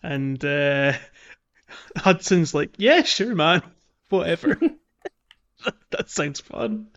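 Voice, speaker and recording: A man's voice: fairly high pitch, sing-song, very slow, fairly bright tone, highs cut off. A man co-hosting a gaming podcast, chatting casually about a video game. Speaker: podcast co-host.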